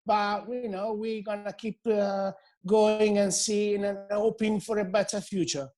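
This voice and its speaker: A man's voice: high-pitched, level tone, measured pace, a little mellow. A middle-aged Italian man with an Italian accent, a hairdresser talking as a guest in a casual interview, hesitant with many fillers and restarts. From Italy.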